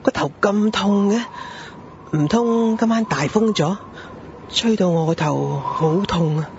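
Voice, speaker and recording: A man's voice: sits high, a little slow, creaky, noisy background. A man with a Hong Kong accent playing a harried, grumbling father in a radio drama. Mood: weary, troubled. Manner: sighing, exasperated. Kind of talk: Cantonese radio drama acting. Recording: dull lo-fi audio with background music.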